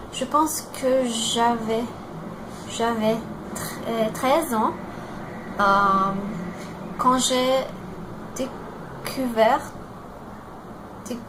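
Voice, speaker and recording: A Brazilian woman in her twenties with a Brazilian Portuguese accent, introducing herself in a learned language and pausing often to search for words. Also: fairly high pitch, background noise. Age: 20s. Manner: halting, searching for words, many fillers and restarts.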